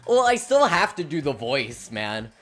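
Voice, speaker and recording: A woman's voice: sits low, very animated, unhurried, a bit bright. A woman streamer chatting casually and a bit self-deprecatingly while playing a game. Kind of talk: casual live-stream commentary. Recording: faint game music behind.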